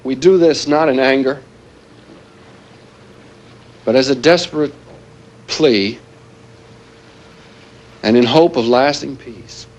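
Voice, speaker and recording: An actor playing a grave, earnest former weapons planner turned militant, delivering a deliberate televised statement in a realistic drama. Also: male voice, mid-range voice, a little mellow, lightly acted. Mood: grave, threatening. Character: grave male former weapons planner turned anti-nuclear hostage-taker.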